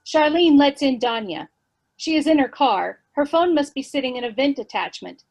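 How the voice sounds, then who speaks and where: A woman reading stage directions in a measured, even way for a virtual play reading. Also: high voice.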